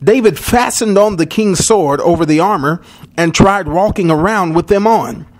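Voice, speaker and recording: A man's voice: high-pitched, moderate pace. A man narrating a children's Bible story as a storyteller, in a dramatic scripted style.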